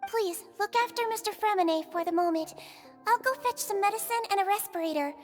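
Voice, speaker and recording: A woman voicing a small, childlike girl nurse in a video game, speaking in a formal, gentle, precise way. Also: very high-pitched, a little fast, in a large hall, soft background music. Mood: polite, composed.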